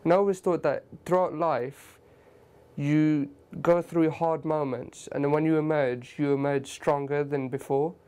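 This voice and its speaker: A man's voice: fairly high pitch, steady tone, unhurried, a little mellow, clean tone. A young man with an English accent chatting loosely in a documentary interview, with many fillers.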